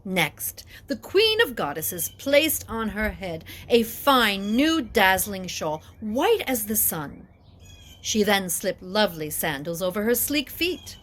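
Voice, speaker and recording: A woman reading epic poetry aloud as dramatic narration, with deliberate, expressive phrasing. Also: medium pitch. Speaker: reader of an epic poem.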